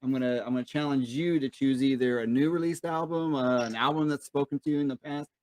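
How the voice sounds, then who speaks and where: Male co-host, mid-pitched, relaxed and agreeable, dry close recording. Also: fast, no room sound. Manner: easygoing, affirming, filler-heavy. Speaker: podcast co-host. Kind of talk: casual chat.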